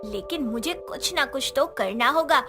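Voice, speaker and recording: A woman voicing a sassy but caring young student, an elder sister, in an animated moral story. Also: high-pitched, moderate pace, music in the background. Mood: concerned. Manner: cheeky, scolding, emphatic.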